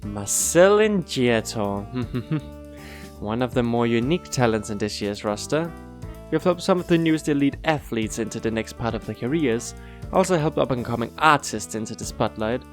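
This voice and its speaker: An actor playing a courteous, lightly teasing school interviewer in an audio drama, formal and measured. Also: male voice, mid-range voice, lively intonation, soft background music, natural voice. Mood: formal, welcoming. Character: courteous, teasing male school interviewer, former talent scout.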